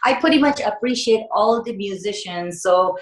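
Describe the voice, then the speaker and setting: A woman's voice: medium pitch, moderate pace, reverberant, rough recording. A woman singer raised in America answering interview questions in casual talk, hesitant with many fillers and restarts. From United States.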